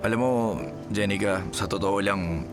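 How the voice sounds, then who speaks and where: A man's voice: lowish voice, steady tone, normal speed, lightly acted. An actor playing a humbled, weary single father in a Filipino radio drama, hesitant and apologetic, with a Filipino accent. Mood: humble, regretful. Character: weary, humbled jobless single father fallen on hard times. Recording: music behind the voice.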